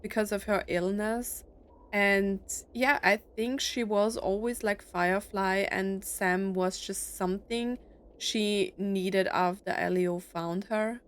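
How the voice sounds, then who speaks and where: Unhurried woman doing game commentary. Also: mid-range voice, steady tone, clear, resonant tone. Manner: rambling, reflective thinking aloud. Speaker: streamer playing a story game. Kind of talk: casual let's play commentary.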